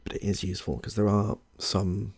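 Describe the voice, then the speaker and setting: A man playing a chatty, affable medical intake clinician in a soft-spoken ASMR roleplay, hesitant and reassuring, with a husky, gravelly voice. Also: on the low side, fairly even intonation, normal speed, a little hiss or hum. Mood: attentive, mildly flustered. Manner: hesitant, rambling, reassuring, murmurs to himself.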